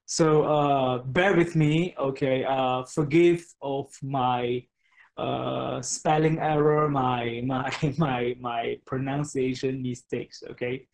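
A man's voice: medium pitch, fairly even intonation, slow, vocal fry, low-quality recording. A man in his thirties, a native Mandarin speaker, presenting a product on a video call from his home study, with many fillers and restarts. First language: Mandarin Chinese. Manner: hesitant, many fillers and restarts, frequent "okay?". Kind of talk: online product webinar. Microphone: webcam on a video call.

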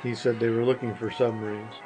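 Older man giving halting short answers. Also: mid-range voice, level tone, dark tone, soft background music. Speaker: guest, the hosts' father. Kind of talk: casual interview answers.

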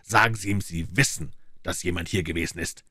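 A man voicing a cool, commanding detective in an audiobook, speaking low and measured with quiet authority. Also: husky, no room sound, studio quality, full character voice. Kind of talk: audiobook character voice.

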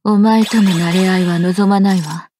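A woman's voice: mid-range voice, fairly even intonation, moderate pace, mellow, a little hiss or hum, natural voice. An actress voicing an aloof fighter woman in an anime game, serious and restrained with dramatic phrasing. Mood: cool, solemn. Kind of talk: anime game character acting. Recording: voice over game music.

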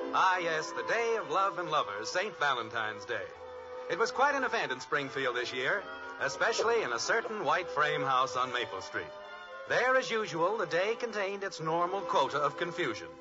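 Animated American radio announcer over music. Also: male voice, a little high. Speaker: announcer and narrator. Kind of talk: 1950s radio scripted narration. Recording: muffled vintage radio recording.

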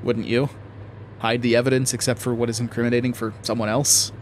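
A man's voice: mid-range voice, level tone, a little fast, slight background noise, natural voice. A man playing a guarded government agent in a horror audio drama, dry, clipped and matter-of-fact. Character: guarded, dry federal agent of an obscure underfunded division.

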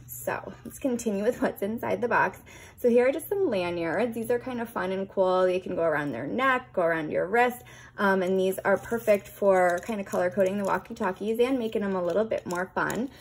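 A woman's voice: mid-range voice, fairly quick, slightly rough. Casual woman narrating an unboxing. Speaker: product reviewer.

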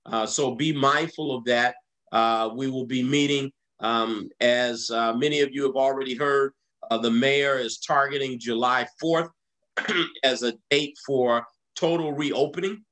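A man's voice: medium pitch, fairly even intonation, a bit bright, low-quality recording. A middle-aged man leads his congregation through the weekly announcements as their pastor. He talks in a mid-range voice over a video call and stops often on "uh" and "um" as he works through dates and times. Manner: halting, many "uh" fillers. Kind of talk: church announcements.

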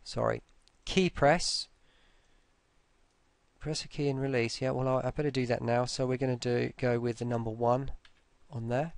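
A man talking through a casual screen-recorded software tutorial, informally, with muttered asides to himself. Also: medium pitch, fairly even intonation, moderate pace, gravelly, clear, resonant tone, no echo.

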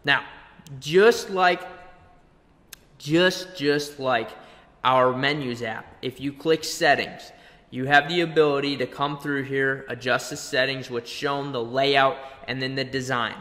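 Tutorial presenter: young male, mid pitch, crisp bright edge, conversational and explanatory, long room reverb. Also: fairly even intonation, slow. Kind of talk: conversational screen-share tutorial. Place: indoor room, webcam beside screen capture.

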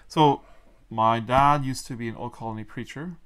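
A man in his forties from Mexico with a Mennonite Low German accent, Low German his first language, talking hesitantly as a guest in a casual interview with many fillers and restarts. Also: moderate pace, a bit bright, smooth. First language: Plautdietsch. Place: small wood-paneled room indoors.

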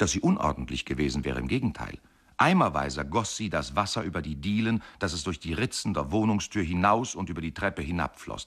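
A man's voice: low-pitched, fairly bright tone, husky, heavily acted. An actor playing a wry, rueful husband of a river goddess, telling his tale drily in a radio play monologue.

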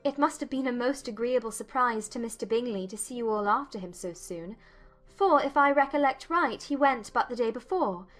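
Witty, self-possessed young lady, played by an actress, lightly high-pitched, formal and teasing, period audiobook drama. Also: expressive, normal speed, fairly bright tone, soft background music. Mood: politely probing. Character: witty, self-possessed young English gentlewoman, playfully challenging.

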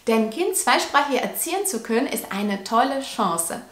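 A woman's voice: a little high, big pitch swings, moderate pace, a bit bright, faint music behind. Woman giving parenting advice. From Russia. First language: Russian. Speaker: parenting vlogger. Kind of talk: talking to camera. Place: living room at home. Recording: roomy home echo.

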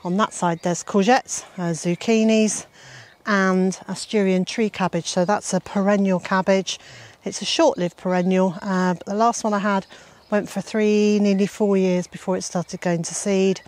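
A woman in her sixties giving a casual tour of her vegetable garden, talking outdoors with many "uh" fillers and gentle chuckles. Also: mid-range voice, steady tone, moderate pace. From Wales.

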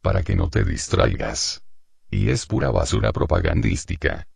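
A man playing an older shopkeeper father, stern but protective, with a gravelly, husky voice in an amateur drama dub. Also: low-pitched, huge pitch swings, normal speed, darkish tone, no echo, exaggerated voice. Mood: stern, disapproving. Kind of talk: amateur Spanish dub acting.

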